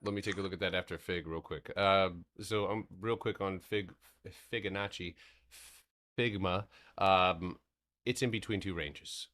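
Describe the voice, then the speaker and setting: A man with a very deep voice hosts a relaxed livestream recap of the market. His talk is loose and rambling, with casual swearing. He settles into a steady, explanatory tone when he walks through chart levels. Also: a little slow. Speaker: stock trading streamer.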